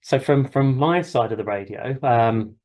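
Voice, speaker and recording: A man's voice: medium pitch, unhurried, darkish tone. A British man giving an informal classroom talk as a flying instructor, rambling and hesitant but encouraging. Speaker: helicopter flying instructor and session host.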